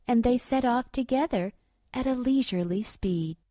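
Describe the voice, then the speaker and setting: A woman's voice: on the high side, moderate pace, no echo, clean studio sound. A woman storyteller giving a scripted, rhyming fairy-tale narration for children. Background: loud music with chimes.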